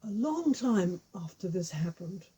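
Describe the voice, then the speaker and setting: Soft, mellow woman telling a folk tale. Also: lowish voice, very animated, measured pace, breathy edge. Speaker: storyteller with puppet figures. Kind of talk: oral folk tale storytelling, informal.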